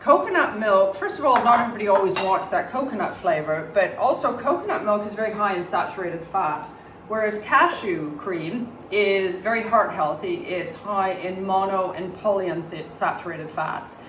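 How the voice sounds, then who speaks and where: A woman with a slight cold and a hoarse voice gives a calm cooking demonstration in her home kitchen, explaining each step and why it is healthy. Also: mid-range voice, normal speed. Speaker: cooking presenter. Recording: roomy, distant mic, faint background hum.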